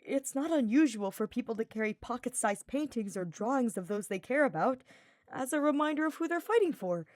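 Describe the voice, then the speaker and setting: A woman narrator puts on a meek young male archer for a fantasy story. His voice sits high and he speaks in an eager, nervous way, stammering and hesitating. The recording is close and dry. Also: animated, brisk, a little mellow, no echo, natural voice. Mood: meek, hesitant.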